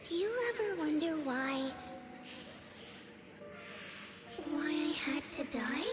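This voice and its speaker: A woman playing a dead young girl in a video game, sweetly eerie and accusing, with a soft childlike manner. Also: high-pitched, moderate pace, noisy background, lightly acted. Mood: playful, haunting. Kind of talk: dramatic video game voice acting.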